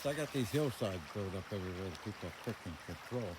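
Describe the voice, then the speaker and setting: Older man, lowish voice, folksy and plainspoken, casual rambling commentary, very noisy outdoor amateur recording. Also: expressive, quick pace. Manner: folksy, plainspoken, thinking aloud. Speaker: vlogger showing his farm. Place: outdoors on a rural farm.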